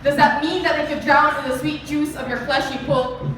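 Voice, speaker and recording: A woman's voice: sits high, fairly even intonation, fairly quick, fairly bright tone, distant mic. A young woman with a Filipino accent performing spoken word poetry live. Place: live performance venue, heard from a distance. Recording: echoey venue from afar, noisy.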